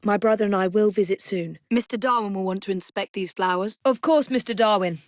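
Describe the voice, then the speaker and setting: An actress playing a poised, determined young assassin in a Victorian London video game, with an English accent and a crisp, politely commanding delivery. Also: female voice, mid-range voice, fairly quick, no room sound, clean studio sound, lightly acted. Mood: brisk, earnest. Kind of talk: scripted game voice acting.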